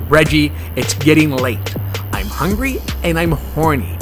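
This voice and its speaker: An actor playing a fussy, germophobic monster hunter, blunt and bossy, in a comedy horror audio drama. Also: male voice, fairly high pitch, takes it slowly, over music. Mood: irritated. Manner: deadpan, argumentative.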